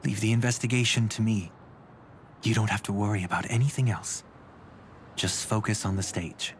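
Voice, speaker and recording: Man acting a low-voiced, protective older brother. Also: moderate pace, slight background noise, lightly acted. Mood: grave, reassuring. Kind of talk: game voice acting, dramatic dialogue. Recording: background music under the voice.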